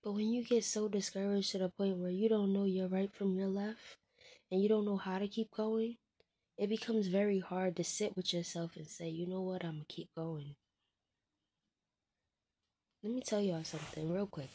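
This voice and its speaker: A woman in her twenties speaking candidly to her viewers, frank and heartfelt, often dropping to a soft hushed voice and swearing bluntly. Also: medium pitch, breathy edge. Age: 20s. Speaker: vlogger. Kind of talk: candid personal monologue to viewers.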